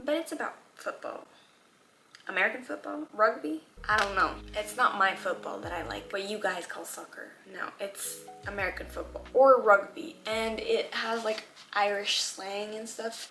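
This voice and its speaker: A woman's voice: medium pitch, roomy sound. A young woman who is not a native English speaker, chatting candidly to camera as a book vlogger, with many fillers. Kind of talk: casual chat to camera. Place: room at home.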